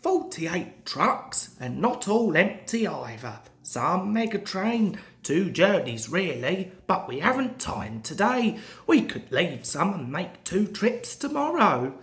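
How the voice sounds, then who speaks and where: A confident storybook train driver, played by a man reading aloud. He teases jovially and reassures, with lively swings in his voice, in an echoey room. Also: high voice, takes it slowly, exaggerated voice. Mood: doubtful.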